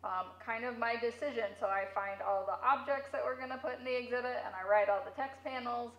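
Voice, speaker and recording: A woman's voice: a little high, quick pace, fairly bright tone. A woman giving casual interview answers as a museum curator, heard through a megaphone in an echoey room.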